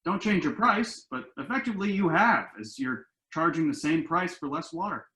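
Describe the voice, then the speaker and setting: A man playing an earnest, optimistic grown son in a play reading, explaining himself like someone making a pitch. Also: expressive, moderate pace, bright, forceful tone. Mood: salesmanlike. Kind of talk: virtual table read of a stage comedy-drama. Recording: roomy, echoey home recording.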